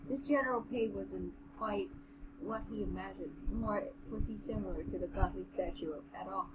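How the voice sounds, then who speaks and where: A woman's voice: medium pitch, moderate pace. A woman co-hosting an amateur novel reading, moving between narration and casual commentary. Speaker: co-host reading a novel aloud. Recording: clearly noisy amateur home recording.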